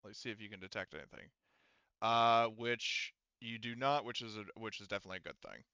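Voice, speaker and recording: A man's voice: fairly low pitch, steady tone, brisk, pushed and bright, smooth, dry recording. A man acting as game master, describing scenes and rulings in a casual, conversational, hedging way over slightly dull audio. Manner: informal, conversational, hedging explanations. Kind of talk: casual tabletop game narration.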